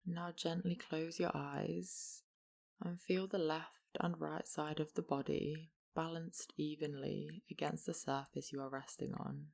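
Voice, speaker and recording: A meditation guide gently and slowly leading a yoga relaxation practice, leaving long pauses between instructions. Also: medium pitch, flat delivery, gravelly.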